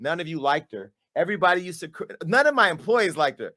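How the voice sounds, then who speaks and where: A man's voice: on the high side, very animated, quick pace. Sassy man calling someone out. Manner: sassy, dramatic, shifting between hushed and loud. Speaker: media company owner and host. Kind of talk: on-camera callout monologue.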